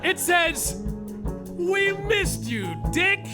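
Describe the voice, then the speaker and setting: An actor playing and narrating a bumbling small-town detective in a live comedy musical on stage. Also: male voice, very high voice, sing-song, drawn-out words, music in the background, exaggerated voice. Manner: theatrical, over-the-top, comic narration.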